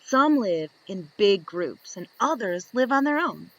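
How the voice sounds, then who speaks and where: Slow, lively woman reading a picture book. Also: dry recording, low-quality recording. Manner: slow, lively reading with high voices for the questions. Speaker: storybook reader.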